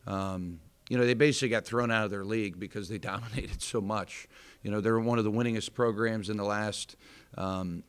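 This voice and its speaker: A man in his thirties with an American accent, a head basketball coach speaking candidly at a postgame press conference, with many fillers and restarts. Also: fairly low pitch. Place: indoor press room in front of a sponsor backdrop. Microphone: table microphone in front.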